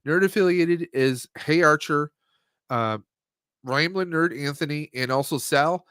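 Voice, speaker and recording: A man's voice: a little high, unhurried, fairly bright tone, clean tone, dry recording, clean studio sound. A man hosting a casual movie review stream, talking in a rambling, conversational way and often rephrasing himself. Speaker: podcast host.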